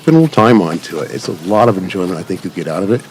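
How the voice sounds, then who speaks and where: A man's voice: deep voice, very animated, rapid-fire, a little hiss or hum. A man giving casual, unscripted commentary as host of a gaming channel, rambling along in long run-on sentences. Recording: music over the voice. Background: music.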